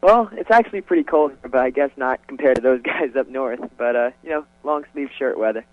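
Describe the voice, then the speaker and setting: A young man, a racing driver guest on a call, easygoing and quick to laugh. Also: medium pitch, no room sound. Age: young adult. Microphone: phone line. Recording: tinny dry phone line.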